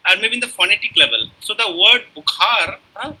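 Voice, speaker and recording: A man's voice: sits high, lively intonation, fairly quick, pushed and bright, slightly rough, rough recording. A man lecturing in a webinar over a computer mic, talking discursively with frequent "you see" and "uh". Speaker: guest lecturer. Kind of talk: academic lecture and Q&A.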